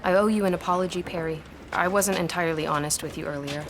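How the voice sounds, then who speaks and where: A woman's voice: fairly low pitch, quick pace, slight background noise, close to an everyday voice. An actress playing an apologetic, secretive young woman with a hesitant, earnest way of speaking in an audio drama. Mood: sheepish, apologetic.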